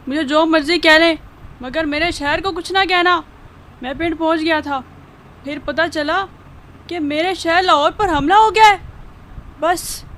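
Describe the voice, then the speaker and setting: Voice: very high-pitched, bright, forceful tone, full character voice. An actor voicing a brave, defiant young boy in an earnest, declamatory Urdu radio drama style. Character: brave, defiant young boy, fiercely patriotic about his city.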